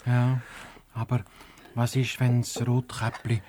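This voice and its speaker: An actor playing a timid, worried hedgehog sidekick in a children's radio play, anxious and naive, with a Swiss German accent. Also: male voice, mid-range voice, monotone, slow, a little mellow, a little hiss or hum, close to an everyday voice.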